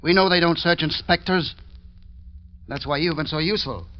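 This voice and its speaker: A man's voice: fairly high pitch, normal speed, dull audio, natural voice. An actor playing a hard, bullying gangland henchman with a curt, threatening manner in an old-time radio drama. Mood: bullying, impatient. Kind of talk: 1940s radio drama acting.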